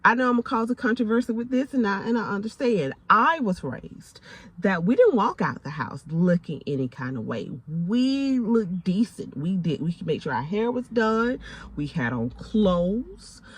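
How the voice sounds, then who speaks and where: A woman in her forties with a Southern American accent speaks to camera in an emphatic, preacherly way. She repeats phrases for stress and calls the listener honey. Her voice is mid-pitched, and the recording is close and dry. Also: very animated, moderate pace, slightly rough, no echo. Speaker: faith and lifestyle vlogger. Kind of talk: casual faith talk to camera.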